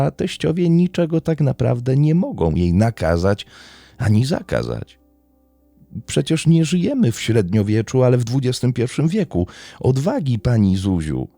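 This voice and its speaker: A man narrating a scripted true-crime story in a measured storytelling manner with dramatic pauses. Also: mid-range voice, vocal fry, warm tone, no room sound.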